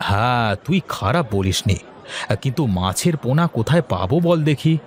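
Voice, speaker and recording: A man's voice: mid-range voice, very animated, normal speed, close to an everyday voice. A man playing an easygoing village street-food seller in a cartoon story. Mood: curious, surprised.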